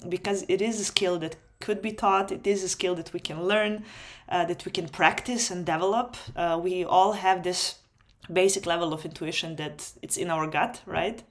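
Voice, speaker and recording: A Serbian-speaking woman giving a webinar lecture in English, with many pauses, ums and restarts. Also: fairly low pitch. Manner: unhurried, many ums and restarts, light laughs. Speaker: webinar host. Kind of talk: spoken lecture.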